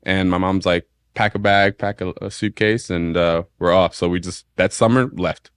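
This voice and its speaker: A young man with an American accent, originally from St. Maarten, chats loosely about his music roots as an interview guest. His voice sits on the low side and wanders through fillers and restarts. The sound is close and dry, with no room around it. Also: fairly even intonation, normal speed, smooth, no room sound. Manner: laid-back, rambling, lots of fillers. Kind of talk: casual interview conversation.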